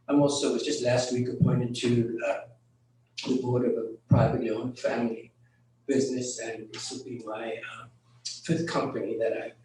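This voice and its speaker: A middle-aged man and business owner giving a short candidate speech at a community centre meeting, in an even, matter-of-fact way. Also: medium pitch, slight background noise. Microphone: handheld mic at a distance. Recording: very echoey room, far from recorder.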